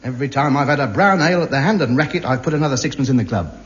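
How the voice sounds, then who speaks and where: A man's voice: mid-range voice, very fast, lightly acted. An actor playing a pompous, self-deluding man in a 1950s radio sitcom, delivering grandiose, indignant lines. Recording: muffled old radio broadcast with hiss.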